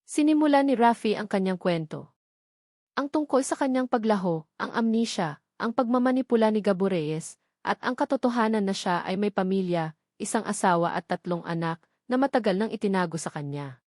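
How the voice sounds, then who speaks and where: A woman's voice: medium pitch, animated, brisk, a little mellow, no room sound, studio quality. A woman reading a scripted dramatic story as its narrator.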